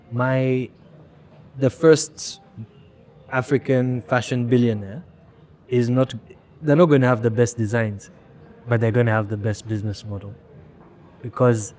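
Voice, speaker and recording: A man from Rwanda, a fashion brand founder, answering interview questions candidly in a halting way with frequent restarts. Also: medium pitch, darkish tone, a little hiss or hum.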